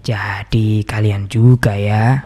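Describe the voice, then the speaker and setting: A man voicing a stern older mountain post guard, who talks slowly and gives fatherly warnings. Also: on the low side, little pitch movement, dark tone, faint music behind. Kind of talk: animated horror story dub.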